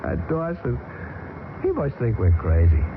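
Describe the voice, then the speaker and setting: A wisecracking flyboy, very lively. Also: male voice, mid-range voice, unhurried. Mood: playful teasing. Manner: joking, bantering, playful swagger. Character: wisecracking male flyer nicknamed Chappie, girl-crazy joker. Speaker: military pilot on arctic patrol. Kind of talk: 1970s radio drama acting. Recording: old radio broadcast, hissy lo-fi.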